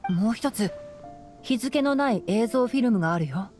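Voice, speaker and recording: A woman's voice: a little high, moderate pace, faint music behind, close to an everyday voice. Woman playing a composed boyish young scholar, light voice. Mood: analytical. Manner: calm, matter-of-fact, boyish. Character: youthful fox-eared male scholar, composed and analytical. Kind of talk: anime game voice acting, explanatory dialogue.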